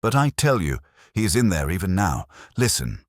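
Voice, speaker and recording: A man's voice: sits low, big pitch swings, moderate pace, dry recording, clean studio sound, pitched above the performer's own voice. A man voicing a nervous, earnest lodger who speaks respectfully and uneasily in an audiobook story. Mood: urgent. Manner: hushed, urgent, respectful. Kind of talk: audiobook detective story dialogue.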